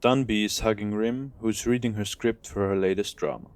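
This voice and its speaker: Low, dry-recorded male narrator. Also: fairly even intonation, normal speed, clear, resonant tone, dry recording. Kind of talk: scripted audio drama narration.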